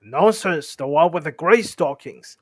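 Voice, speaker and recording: Man doing a loud, argumentative troll. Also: a little high, measured pace, dry recording, studio quality, voice pushed up. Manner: blunt, loud, contradicting. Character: gruff, argumentative male troll in a storybook.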